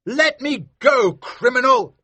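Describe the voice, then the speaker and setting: A man's voice: expressive, slow pace, sharp tone, husky, no echo, exaggerated voice. A man voicing a pompous, cowardly magistrate who shrieks and begs in a shaky voice. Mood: arrogant. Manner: shrill, shaky, begging. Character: cowardly, pompous male magistrate, panicky and pleading. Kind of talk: audiobook character voice.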